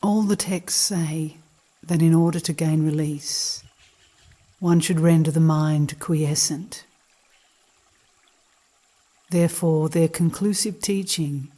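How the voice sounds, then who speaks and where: A woman reading spiritual teachings as a guided meditation, in a calm, measured and contemplative manner. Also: low-pitched.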